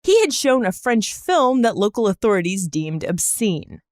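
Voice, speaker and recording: A woman's voice: medium pitch, big pitch swings, moderate pace, a little mellow, dry recording, clean studio sound. A woman hosting a podcast, reading scripted narration in a conversational storytelling style with wry, joking asides. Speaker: podcast host.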